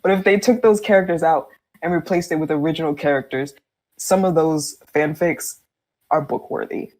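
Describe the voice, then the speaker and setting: A woman talking casually and candidly as a podcast guest, informal and quick to laugh as she speaks. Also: low voice, darkish tone, rough recording. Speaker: fan fiction writer, podcast guest.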